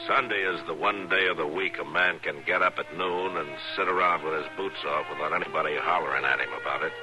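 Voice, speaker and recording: Weary American lawman male actor: deep, terse, authoritative, for an old-time radio western with underscore. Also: quick pace, music in the background, close to an everyday voice, rough recording. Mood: dry, weary. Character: stern, weary, commanding frontier U.S. marshal. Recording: vintage radio recording.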